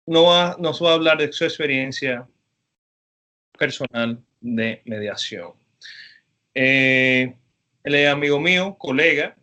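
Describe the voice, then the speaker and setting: A man, a professor, interviewing a guest attorney for his students in an easygoing way, with frequent pauses and fillers. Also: mid-range voice, pushed and bright, smooth, highs cut off. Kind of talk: class interview over video.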